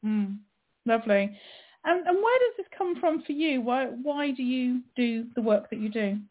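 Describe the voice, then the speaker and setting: A woman hosting a radio show, introducing and interviewing a guest in a measured way. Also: a little high, animated. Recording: tinny phone line.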